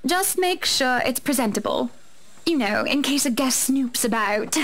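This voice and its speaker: A woman's voice: sits high, lively intonation, a little slow, full character voice. A woman playing a wealthy, teasing lady of the manor in a visual novel game, gracious and a little lofty.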